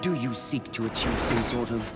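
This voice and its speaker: An actor voicing an androgynous, seductive demon in a video game, coaxing and taunting in a breathy, theatrical drawl. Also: mid-range voice, fairly even intonation, moderate pace, loud music behind the voice, close to an everyday voice.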